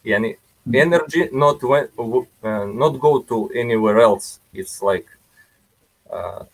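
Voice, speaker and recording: A man with a Russian accent calling in with a question, speaking haltingly as he searches for words. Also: mid-range voice, animated, low-quality recording. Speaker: caller asking a question.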